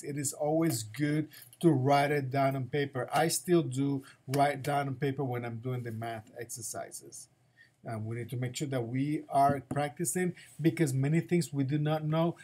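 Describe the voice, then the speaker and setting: A man with a fairly high voice reads the morning announcements as a school principal. He sounds upbeat and encouraging and keeps circling back to the same advice. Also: a little mellow.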